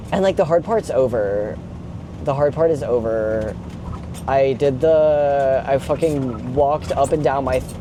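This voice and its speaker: A young man chatting casually and confessionally while driving a truck, in an informal, sweary, rambling way peppered with "like". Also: medium pitch. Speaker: vlogger. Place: inside a moving box truck. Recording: clear vehicle and road noise. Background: engine and road noise.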